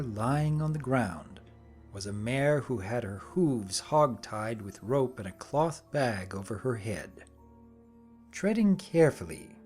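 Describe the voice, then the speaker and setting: A man narrating a fantasy story in a deliberate, theatrical dramatic reading. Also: mid-range voice, a little mellow.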